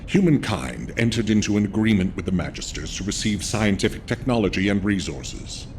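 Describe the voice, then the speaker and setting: An actor playing a grave, burdened military commander in a video game, speaking in a measured, solemn, authoritative way. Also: male voice, lowish voice, slight background noise. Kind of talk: scripted video game dialogue.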